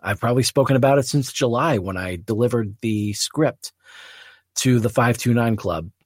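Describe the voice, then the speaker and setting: A man hosting a podcast, reflecting alone in an earnest, measured way with pauses between short phrases. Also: medium pitch, no echo, studio quality. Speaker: podcast host. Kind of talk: solo reflective podcast talk.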